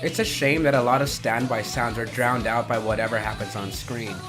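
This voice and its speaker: Man commentating over loud music. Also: medium pitch, fairly even intonation, fairly quick. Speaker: countdown video host. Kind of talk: casual video commentary.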